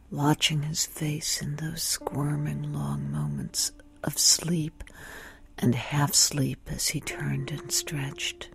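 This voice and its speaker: An actress playing a brooding, lovesick woman, confiding in a breathy near-whisper with measured, intimate delivery in a radio play. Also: deep voice, a little mellow, heavily acted. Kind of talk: intimate dramatic monologue.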